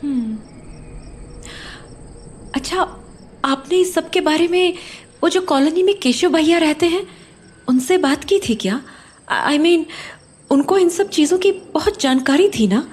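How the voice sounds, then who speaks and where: A woman's voice: high-pitched, lively intonation, a little slow, pauses often, a little mellow. A woman playing an uneasy, questioning young mother in an audio horror drama, speaking hesitantly. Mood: probing, uneasy.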